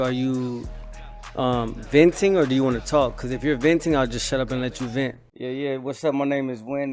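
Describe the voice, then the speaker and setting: A man in his thirties, a guest on a casual podcast, easygoing and giving short, relaxed answers. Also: medium pitch. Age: 30s.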